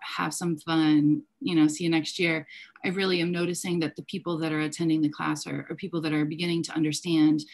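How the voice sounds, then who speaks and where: Woman teacher, deep voice, earnest panel remarks. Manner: earnest, fluent with filler ums. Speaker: teacher and class host. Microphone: video call.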